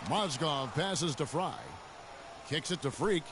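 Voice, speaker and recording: A brisk high-voiced play-by-play announcer. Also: male voice, background noise. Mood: calling action. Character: male play-by-play sportscaster, brisk. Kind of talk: video game basketball commentary. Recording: game audio with loud music behind.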